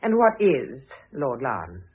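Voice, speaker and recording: An actress playing a sharp-tongued, dignified older former wife in a classic radio drama, cutting and disdainful. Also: female voice, a bass voice, wildly swooping pitch, slow pace, breathy edge, full character voice. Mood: probing, dry. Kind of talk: classic stage-style radio drama acting. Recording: muffled narrow-band old radio recording.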